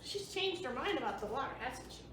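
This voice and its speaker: A woman's voice: high voice, big pitch swings, a little fast, fairly bright tone. A woman presenting a children's storytime, reading aloud and explaining things simply for young listeners. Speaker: library storytime host. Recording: very echoey room, distant mic, faint noise.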